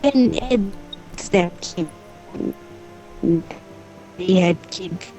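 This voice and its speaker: A woman's voice: fairly low pitch, drawn-out words, dark tone, rough recording. Woman rambling through an offhand story, music behind. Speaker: storyteller on a gaming channel. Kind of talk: casual improvised storytelling.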